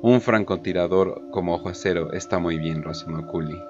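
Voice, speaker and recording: A man with a deep voice narrates a dramatic story from a script. The recording is slightly dull and lo-fi, with music clearly playing behind him. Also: fairly quick. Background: prominent background music.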